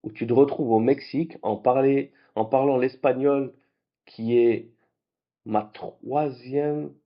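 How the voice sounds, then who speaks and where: A man whose first languages are French and Arabic, hosting a late-night trading vlog in a lively, conversational way. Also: medium pitch, slow pace, highs cut off. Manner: animated, conversational, with restarts. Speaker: trading channel host.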